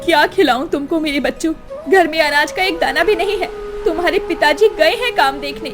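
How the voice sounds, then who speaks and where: A woman's voice: high-pitched, a little fast, over music, close to an everyday voice. A woman voicing a poor, meek, suffering mother in a cartoon story, pleading and lamenting. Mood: distressed.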